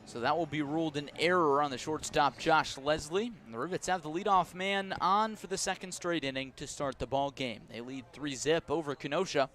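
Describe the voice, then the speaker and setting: A man calling live baseball play-by-play for radio from an outdoor ballpark, in measured, descriptive short phrases. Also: on the high side, fairly even intonation, a bit bright, a little hiss or hum. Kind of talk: live radio sports commentary.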